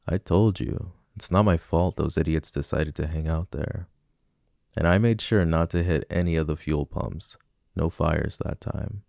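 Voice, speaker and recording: Man acting a very deep, smug flirty villain. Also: vocal fry, a little mellow, no echo, studio quality, heavily acted. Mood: playfully defensive. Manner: teasing, smug, casually persuasive. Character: flirty, cocky male villain smitten with a civilian. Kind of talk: ASMR romance roleplay acting.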